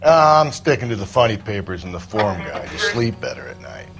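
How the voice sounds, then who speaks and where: An actor playing a gruff, cynical, middle-aged American police detective in a 1940s crime game, blunt, slangy and world-weary. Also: male voice, on the low side, wildly swooping pitch, fairly quick. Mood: wry. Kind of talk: video game cutscene acting. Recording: music behind the voice.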